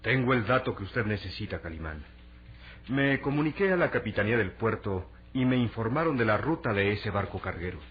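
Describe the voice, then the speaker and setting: Low-voiced, cautious diplomat, played by a man. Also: animated, natural voice. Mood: informative. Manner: formal, measured, advisory. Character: Sarim, cautious, worried, dignified male Indian ambassador. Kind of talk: Latin American radio serial acting. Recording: dull old radio recording, hum and hiss.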